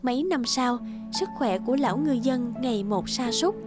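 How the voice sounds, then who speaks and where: A woman's voice: a little high, lively intonation, normal speed. A woman reading a scripted fairy tale as the narrator of a cartoon. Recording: music under the voice.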